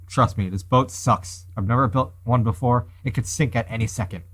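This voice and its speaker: A man's voice: mid-range voice, normal speed, a bit bright, close to an everyday voice. A man voicing a wary, nerdy hostage inventor who argues dryly in a visual novel. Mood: pleading. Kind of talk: reading visual novel dialogue and inner thoughts.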